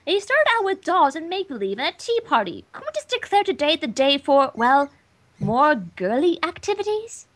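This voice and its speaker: Woman voicing a fussy, high, refined unicorn lady. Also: sing-song, moderate pace, fairly bright tone. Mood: coaxing. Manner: prim, theatrical, wheedling. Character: refined, fussy, coaxing female unicorn fashionista.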